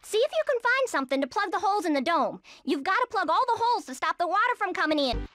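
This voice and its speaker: A woman's voice: very high-pitched, very animated, fast talker, fairly bright tone, heavily acted. A woman voicing a feisty, sporty squirrel in a cartoon video game, with a folksy, brash Texan drawl. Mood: friendly, folksy. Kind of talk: animated video game voice acting.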